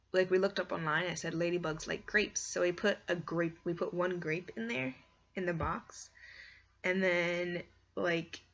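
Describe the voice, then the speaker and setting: A woman chatting casually and expressively like a video blogger, rambling along with "like" sprinkled through her sentences. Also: lowish voice. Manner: expressive, squeamish, exclaims in disgust; heavy use of "like" as a filler. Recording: dull lo-fi sound with clear background noise.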